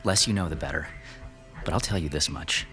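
An actor playing a crude, persuasive lover plotting murder in an audio horror drama. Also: male voice, rumbling low, a little fast, bright, forceful tone, soft background music. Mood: conspiratorial.

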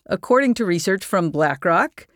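A woman's voice: on the low side, lively intonation, normal speed, no echo, studio quality. A woman hosting an interview, listening closely and murmuring agreement often. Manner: attentive, frequent affirming murmurs. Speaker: podcast host. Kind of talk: casual interview conversation.

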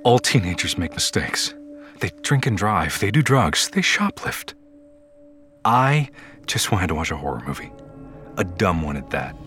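A man's voice: low voice, sing-song, a little slow, a bit bright, full character voice. An actor playing a weary, haunted man who narrates his ordeal in the first person for an audio drama. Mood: rueful. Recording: music under the voice.

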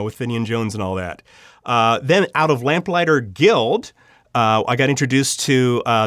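Animated male storyteller, dry close sound. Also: mid-range voice, no room sound. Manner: animated, acts out inner thoughts and dialogue. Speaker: interview guest, audio drama writer. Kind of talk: personal storytelling in a conversational interview.

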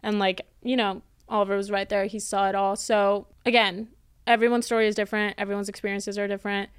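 A woman's voice: medium pitch, flat delivery, fast talker, smooth, dry recording. A twenty-one-year-old woman chatting casually and slangily as a podcast co-host.

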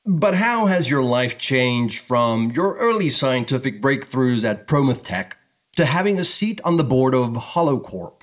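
A man's voice: medium pitch, sing-song, a little slow. A man playing a polished, pressing talk show host of the far future in a sci-fi audio drama. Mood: probing.

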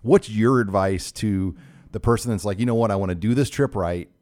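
A man's voice: lowish voice, brisk, darkish tone, dry recording. A middle-aged man hosting a sports talk show, asking questions in a chatty, enthusiastic way. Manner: enthusiastic, chatty, some stumbles. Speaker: radio show host.

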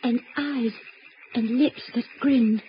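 Seeking a skittish, protesting young sweetheart, high-pitched, vintage radio drama with muffled sound. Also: female voice, fairly even intonation, takes it slowly, faint music behind. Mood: horrified, insistent. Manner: coy, protesting, exclamatory. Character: skittish young sweetheart on an evening stroll, easily horrified. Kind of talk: old-time radio drama acting. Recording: dull, noisy old radio recording.

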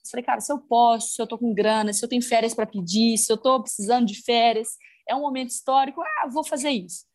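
Brazilian woman chatting on a podcast, kinda high voice, lots of fillers, tells it like a story. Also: quick pace. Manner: animated storyteller, many fillers like "cara" and "né". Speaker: podcast guest. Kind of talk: casual podcast chat.

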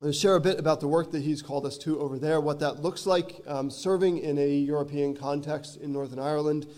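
Hoarse thirties man, missionary addressing a congregation, earnest with ums, warm mellow tone. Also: a little high, steady tone, fairly quick. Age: 30s. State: hoarse from a cold. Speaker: visiting missionary. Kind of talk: church missionary talk. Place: church sanctuary.

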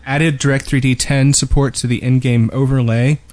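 A man's voice: mid-range voice, steady tone, normal speed, darkish tone. A man co-hosting a gaming podcast, chatting informally and reading through notes with joking asides. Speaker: podcast co-host.

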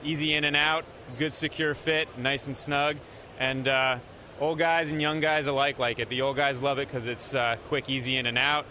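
A man representing a company, explaining product features in a steady way with frequent pauses. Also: mid-range voice, slight background noise.

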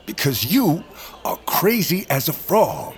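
Grumpy old male trader, higher-set and very animated, sarcastic, haggling, audio fiction. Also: slow, slight background noise. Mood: sly, amused. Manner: sarcastic, gruff. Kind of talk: audio drama acting.

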